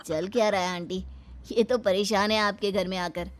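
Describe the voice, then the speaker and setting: Woman voicing a cocky young man, medium-pitched. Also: fairly even intonation, moderate pace, natural voice. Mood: challenging. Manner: brash, teasing, provocative. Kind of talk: animated moral story acting.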